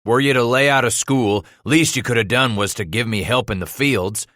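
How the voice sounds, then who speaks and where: A man voicing an angry, domineering frontier father in an audiobook, with gruff, stern delivery and rustic, folksy speech. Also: on the low side, moderate pace, sharp tone, no room sound, clean studio sound. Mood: angry, accusing.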